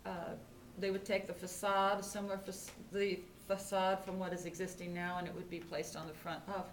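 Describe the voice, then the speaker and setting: A woman's voice: medium pitch, flat delivery, moderate pace. An older American woman, a mayor chairing a public meeting and making informal remarks. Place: indoor council meeting room. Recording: echoey meeting room with background noise.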